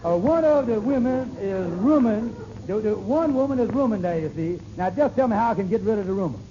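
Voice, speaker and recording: A man's voice: sits high, animated, a little fast, warm tone. A man acting a scheming, flustered middle-aged husband in a 1940s radio comedy, with a hesitant, folksy, exasperated way of talking. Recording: noisy, dull old radio recording.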